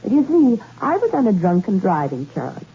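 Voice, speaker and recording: A woman's voice: on the low side, huge pitch swings, brisk, warm tone, husky, heavily acted. An actress playing a rich young woman confessing to murder, cool and bitter, in 1960s radio drama style on an old muffled recording. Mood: cool confession. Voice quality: cool, confessional, then anguished.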